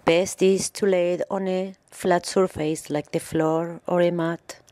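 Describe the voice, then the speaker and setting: A woman yoga instructor narrating a guided meditation in measured, instructional phrases with pauses. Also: lowish voice, level tone, warm tone, no echo.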